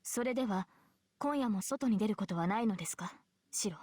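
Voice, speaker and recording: A woman's voice: mid-range voice, animated, racing, warm tone, breathy edge. An actress playing a proud, formal young female knight in a Japanese visual novel. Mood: formal, questioning. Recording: game music under the voice.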